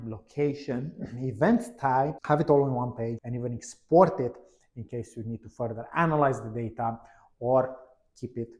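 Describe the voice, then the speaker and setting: A man's voice: medium pitch, a little mellow. A man narrating a product demo in a conversational, explanatory way, stressing key words as he presents.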